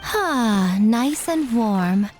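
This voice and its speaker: A woman's voice: fairly high pitch, expressive, extremely slow, a little mellow, faint music behind. A woman voicing a sly, playful, self-assured lady in a video game, with a teasing, knowing way of talking. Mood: teasing, amused.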